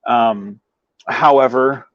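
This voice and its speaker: A man hosts a casual livestream, talking to his viewers in a loose, rambling way full of habitual filler phrases. His voice sits at an ordinary middle pitch. The audio is dry, with hardly any room around it, and a little dull and lo-fi. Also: slow, no echo. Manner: rambling, frequent "you know" verbal tic. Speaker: livestream host. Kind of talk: casual chat with live viewers.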